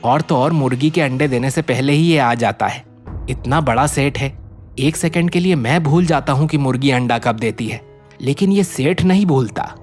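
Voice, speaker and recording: A man's voice: medium pitch, moderate pace, a little mellow, soft background music, close to an everyday voice. A man voicing a poor, humble, obliging village egg seller in a cartoon, with a deferential, explaining manner. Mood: wondering.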